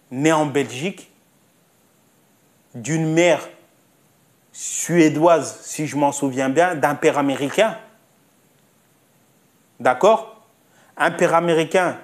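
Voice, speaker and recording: A man delivering a spoken opinion monologue, insistent, repeating himself and asking rhetorical questions. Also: fairly high pitch. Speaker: commentator.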